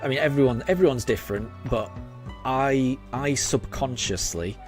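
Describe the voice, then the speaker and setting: A man's voice: medium pitch, moderate pace, darkish tone. A man in his forties from Yorkshire with a faded Yorkshire accent, chatting hesitantly with his live-stream chat over many ums and restarts while he plays. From Yorkshire, England. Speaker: game streamer. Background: game music.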